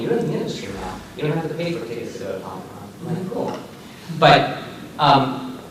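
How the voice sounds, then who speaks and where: Echoey man, slightly high voice, informal. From Argentina. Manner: informal, checks in with "right?". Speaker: comics marketer and panelist. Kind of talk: casual unscripted panel talk. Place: echoey room, recorded at a distance. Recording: very echoey room, distant mic, faint noise.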